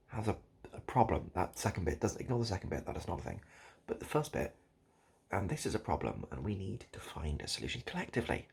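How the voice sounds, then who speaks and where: A man improvising a comic monologue straight to the listener, halting and repeating himself in a mock-earnest, absurd way. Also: sits low, creaky, husky. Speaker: online video host.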